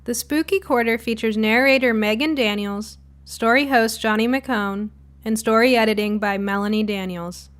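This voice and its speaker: A woman reading a scripted spooky short story as narrator, in a dramatic, deliberate way. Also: a little high, a little hiss or hum. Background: music bed.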